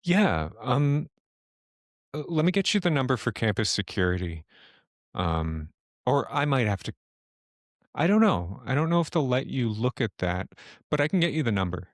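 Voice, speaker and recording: A man voicing a hesitant, helpful young woman who works at a library, halting and unsure. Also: medium pitch, big pitch swings, no echo, studio quality. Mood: nervous, helpful. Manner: halting, unsure, eager to help.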